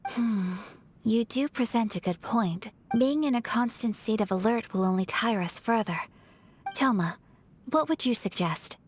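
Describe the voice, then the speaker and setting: A woman's voice: fairly high pitch, unhurried, a little hiss or hum, close to an everyday voice. A woman playing a poised young noblewoman in an anime-style video game, speaking in a formal, composed, earnest way. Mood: pensive. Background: faint music.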